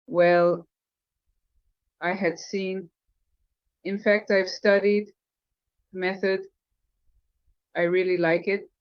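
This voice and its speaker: A woman guest in an AI-dubbed voice, giving short, soft-spoken answers about receiving a healing session. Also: fairly low pitch, little pitch movement, drawn-out words, pauses often, smooth, dry recording. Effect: AI voice dub. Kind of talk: interview answers. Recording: muffled lo-fi recording.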